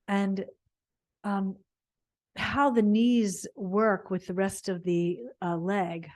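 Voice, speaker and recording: A woman yoga teacher guides a body scan at a very unhurried pace. Her pitch sits in a middle range for a woman. She speaks off the cuff, meandering and pausing to find her words as she gives spiritual instruction. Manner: meandering, halting, searching for words.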